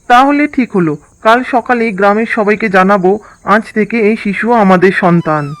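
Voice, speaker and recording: একজন পুরুষ কণ্ঠে কোমল গ্রাম্য স্বামী. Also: male voice, high voice, moderate pace, fairly bright tone, poor audio. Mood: tender. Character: Raj, gentle village husband and father. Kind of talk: cartoon dub acting.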